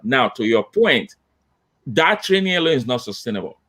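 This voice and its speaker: A middle-aged man speaks over a laptop webcam on a video call, gesturing as he talks and driving points home with repetition. His pitch sits in an ordinary middle range. He sounds like a consultant laying out his approach on a panel. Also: normal speed. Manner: animated, gestures with hands, emphatic repetition. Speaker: diversity consultant. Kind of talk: panel discussion. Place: home office with banner.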